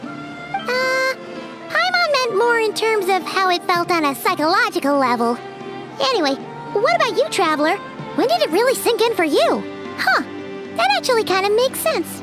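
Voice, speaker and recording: Super high squeaky little floating sidekick, bouncy voice, music behind. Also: female voice, very animated, normal speed. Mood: chirpy. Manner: chirpy, refers to herself in third person. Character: small floating girlish companion, bubbly and greedy. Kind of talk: game cutscene dialogue. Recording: game audio with music behind.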